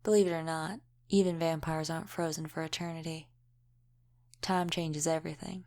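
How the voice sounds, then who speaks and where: Low, even-voiced world-weary vampire mentor played by a woman. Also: natural voice. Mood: calm, reflective. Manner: unhurried, wry, folksy dropped g's. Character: centuries-old female vampire, world-weary, gruffly protective mentor. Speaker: audio roleplay performer. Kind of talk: intimate audio roleplay acting.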